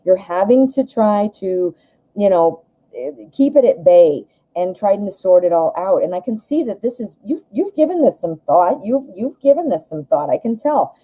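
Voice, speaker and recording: A woman's voice: mid-range voice, lively intonation, moderate pace, dark tone, rough recording. A woman with a Midwestern American accent giving a casual live tarot reading, conversational and self-deprecating, often rephrasing mid-thought. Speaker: tarot reader, live show host.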